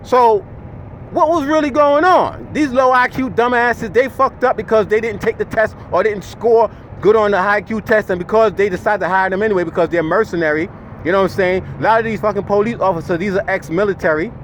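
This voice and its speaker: A man with a Jamaican accent speaks in a fairly high voice, ranting profanely to his followers from behind the wheel. His words tumble and restart as he gets heated. A faint hum of road noise from the car sits underneath him. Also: a little fast. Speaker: vlogger. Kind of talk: ranting commentary to camera. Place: inside a large vehicle, driving.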